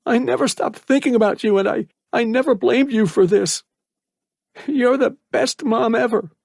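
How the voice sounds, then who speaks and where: A man voicing a frightened teenage boy trapped in a cage, high and tearful with a cracking voice. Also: animated, normal speed, a little mellow, no room sound, studio quality.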